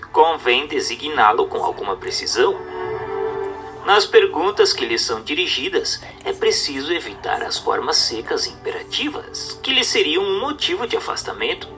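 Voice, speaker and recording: A man reads a book as scripted narration, in a formal, didactic manner with measured emphasis. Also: on the high side. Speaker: audiobook narrator.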